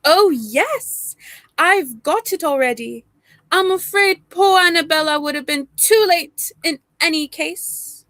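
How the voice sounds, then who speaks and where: A woman's voice: extremely high pitch, slow, a bit bright, dry recording, heavily acted. A young woman playing a suave, menacing professor and secret spy in a 1930s radio play, genteel and theatrical. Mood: coolly menacing.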